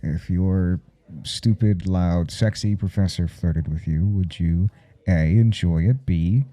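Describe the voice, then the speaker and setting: A man playing a nervous, smitten professor in an intimate ASMR roleplay, husky and halting, stammering coyly as he speaks. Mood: coy teasing. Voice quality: husky, dark low murmur. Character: nervous, smitten male professor confessing forbidden attraction. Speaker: audio roleplay performer.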